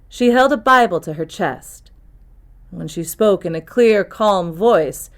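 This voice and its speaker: A woman reading a first-person mystery novel aloud as an expressive, scripted audiobook narration. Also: lowish voice.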